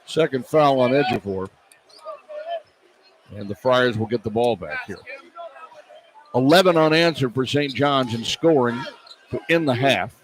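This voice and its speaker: A man's voice: mid-range voice, a little slow, pauses often, slight background noise, rough recording. A man working as a play-by-play announcer, giving live radio commentary on a basketball game. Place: indoor basketball arena.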